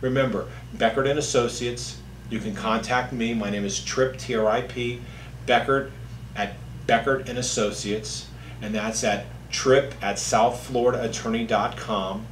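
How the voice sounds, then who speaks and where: A man in his fifties, a lawyer, explaining things to camera in a halting, conversational way in an office. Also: mid-range voice, steady tone. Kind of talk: informal legal explainer to camera. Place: indoor office, seated at a distance from the camera. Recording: echoey room with faint hum.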